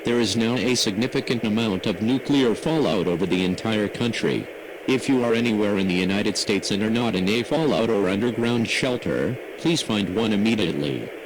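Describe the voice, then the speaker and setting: A man reading a scripted emergency broadcast announcement in a formal, measured, impersonal manner. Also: lowish voice, lively intonation. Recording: noisy emergency radio broadcast audio.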